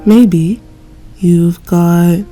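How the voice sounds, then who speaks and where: Agatha, a woman playing a gentle former knight. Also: lowish voice, steady tone, drawn-out words, faint music behind, low-quality recording. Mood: wistful. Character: grown woman, former knight, calm and caring mentor. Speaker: voice actor. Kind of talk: fan audio drama.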